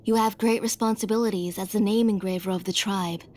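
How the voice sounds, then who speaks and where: A woman playing a gentle, middle-aged mother weighed down by guilt, speaking earnestly in a video game. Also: mid-range voice, steady tone, a little fast, lightly acted. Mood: apologetic. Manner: gentle, earnest, sorrowful, sighing. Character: gentle guilt-ridden mother, a former master smith. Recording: music behind.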